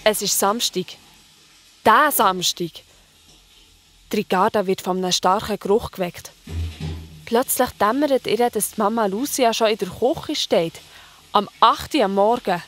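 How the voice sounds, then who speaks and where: A woman's voice: mid-range voice, unhurried, pauses often, fairly bright tone. A woman with a Swiss German accent narrating a scripted children's story in a colloquial storytelling manner.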